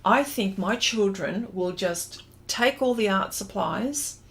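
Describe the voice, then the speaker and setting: A woman's voice: medium pitch, slow. A middle-aged Australian woman chatting casually on a livestream, rambling and trailing off as she reads out and answers comments. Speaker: livestream host, artist. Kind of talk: casual livestream chat, reading out viewer comments.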